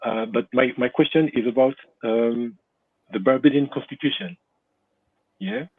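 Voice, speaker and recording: Husky man, low voice, hesitant audience questioner, phone-line audio. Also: measured pace. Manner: polite, hesitant, many "um"s. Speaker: audience member asking a question. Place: England. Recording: tinny phone-like line, dry.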